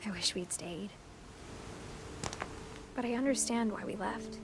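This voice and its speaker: A woman plays a wistful teenage girl narrating a story game. Her delivery is soft, measured and reflective, at a middle pitch, with music running behind it. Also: natural voice. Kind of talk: scripted first-person game narration.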